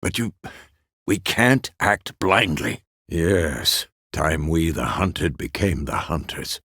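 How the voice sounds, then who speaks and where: A man playing a gruff, fatherly old monster hunter in a fantasy video game, with a gravelly voice and a terse, measured, authoritative manner. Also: a bass voice, very animated, sharp tone, dry recording, studio quality, exaggerated voice.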